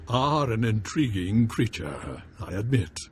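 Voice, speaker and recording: Casting: lordly game baron, gracious and formal, very varied intonation, faint background noise. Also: male voice, on the low side, sing-song, a little slow. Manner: formal, courtly phrasing. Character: courtly male noble baron, gracious lord of the house. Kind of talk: video game voice acting.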